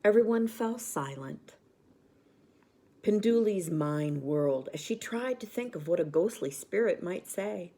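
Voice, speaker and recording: A woman reading a children's bedtime story aloud with expressive narration. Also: fairly low pitch, a little slow.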